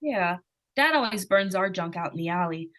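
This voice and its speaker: A woman's voice: on the low side, animated, normal speed, a bit bright. A woman acting an inquisitive, innocent-seeming young girl in 1940s radio suspense style, with a hesitant, artless, questioning manner. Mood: curious, probing.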